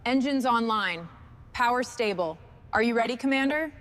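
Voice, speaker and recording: Higher-voiced woman over faint machinery noise. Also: animated, moderate pace, a bit bright. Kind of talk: scripted working remarks and brief commands.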